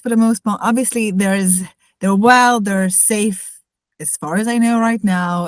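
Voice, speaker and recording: A woman's voice: fairly low pitch, no room sound. A woman from Israel with a mixed Israeli-tinged accent, co-hosting a podcast and chatting casually with many "like" fillers. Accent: Israeli-tinged, mixed English accent. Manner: rambling, with frequent "like" fillers. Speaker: podcast co-host. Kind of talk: casual conversation.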